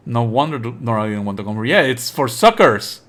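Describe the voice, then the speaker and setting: Male game streamer, mid-pitched voice, sardonic and exasperated, swears freely, switches between reading on-screen text and ranting. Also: fairly quick. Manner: exasperated, sarcastic, animated outbursts; frequent swearing. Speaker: streamer playing and commenting on a visual novel. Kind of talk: live let's play commentary, reading game text aloud.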